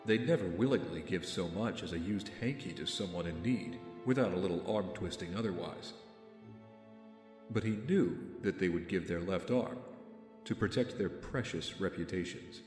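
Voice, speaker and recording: Man acting guarded rich young man, deep voice, measured, formal, audio drama, long reverb. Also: darkish tone, natural voice. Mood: brooding. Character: brooding, guarded young billionaire with a secret mission. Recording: roomy reverb, faint music behind.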